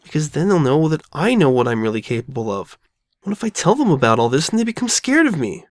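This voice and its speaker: A woman's voice: low-pitched, very animated, dry recording, studio quality. A woman voicing a frightened, guilt-ridden young female pony who stammers and doubts herself in an audio drama. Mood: defensive. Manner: stammering, halting, anxious. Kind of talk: all-dialogue fan audio drama.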